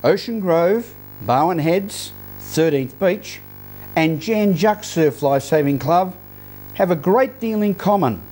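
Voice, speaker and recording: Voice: medium pitch, lively intonation, slow pace, a little mellow, a little hiss or hum. A news presenter reading scripted local news introductions.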